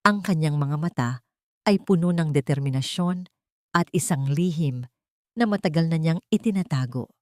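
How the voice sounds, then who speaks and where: A woman's voice: deep voice, normal speed, dry recording, clean studio sound. A woman narrating a dramatic audio story in an earnest, emotive reading style.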